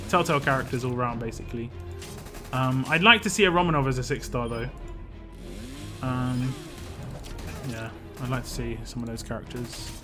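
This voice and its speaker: A man's voice: medium pitch, sharp tone. Chatty male streamer over game music. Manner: rambling, chatty, answers chat with laughs. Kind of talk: casual live-stream chat.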